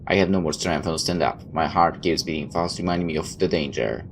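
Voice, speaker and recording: A man's voice: a bass voice, fairly even intonation, brisk. A man reading a visual novel aloud like a dramatic storyteller, tossing in his own asides. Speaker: let's player. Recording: clear background noise.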